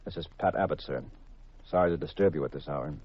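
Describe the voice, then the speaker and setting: Seeking a hard-boiled gumshoe: very deep, deadpan, vintage muffled radio sound with music. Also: male voice, fast, breathy edge, no echo. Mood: brisk, urgent. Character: hard-boiled male private detective, cool, wry, narrating his case. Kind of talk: 1940s-50s radio mystery acting, first-person narration.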